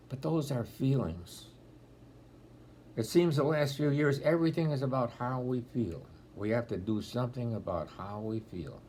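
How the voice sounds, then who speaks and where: A man in his eighties with a deep voice, speaking as a deacon in a homily-style talk, telling stories with gentle dry humor, filmed on a laptop webcam at home. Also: slight background noise. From Rochester, New York area. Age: 80s. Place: home room, indoors. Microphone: laptop webcam at close range.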